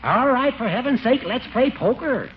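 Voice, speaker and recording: An actor playing a dry, superior, dismissive judge in a 1940s radio comedy. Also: male voice, very high voice, expressive, normal speed, faint music behind. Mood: impatient. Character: testy, dry older judge, a dismissive friend. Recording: muffled, hissy 1940s radio recording.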